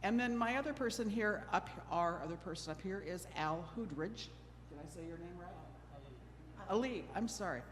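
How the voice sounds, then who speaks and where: A woman's voice: fairly low pitch, animated, fairly quick, crowd noise. A middle-aged woman from Oregon moderating a church panel discussion, chatty and a bit nervous, joking at her own expense. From Oregon, USA. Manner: nervous, self-deprecating, chatty with laughs. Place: church hall. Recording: long hall reverb with faint noise.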